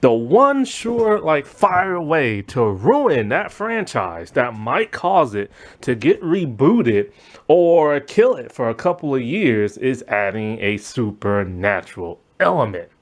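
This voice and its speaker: Casual reviewer, man, voice going hoarse and strained, animated and full of fillers. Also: takes it slowly. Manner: rambling, lots of fillers, animated pitch swings. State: losing his voice, strained. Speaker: movie review channel host. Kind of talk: casual opinion monologue.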